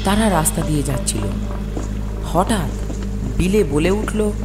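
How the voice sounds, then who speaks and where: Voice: medium pitch, unhurried, over loud music. A narrator reading a scripted ghost story with dramatic storytelling delivery.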